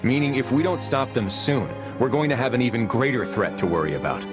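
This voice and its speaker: An actor playing an earnest, determined soldier hero in a video game, speaking with resolve. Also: male voice, mid-range voice, expressive, a little fast, music in the background, natural voice. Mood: earnest, urgent. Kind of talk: dramatic video game acting.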